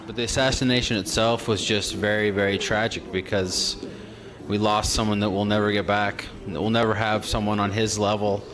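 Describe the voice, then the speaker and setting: Man, low voice, sincere and halting, noisy outdoor recording. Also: flat delivery. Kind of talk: street interview.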